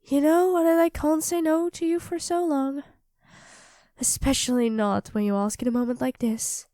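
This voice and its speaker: A woman's voice: high-pitched, fairly even intonation, normal speed, dark tone, no room sound, studio quality, natural voice. A woman playing an affectionate, playfully teasing young girlfriend in an ASMR audio roleplay, talking expressively and dotingly to her partner. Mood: affectionate, chatty.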